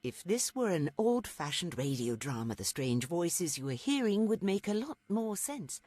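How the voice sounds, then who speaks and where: A man playing a wry, theatrical narrator of an old-time radio serial, arch and knowing, with a husky voice. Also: sits high, sing-song, moderate pace, darkish tone, no room sound. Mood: arch, teasing. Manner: arch, knowing, dramatic announcer delivery.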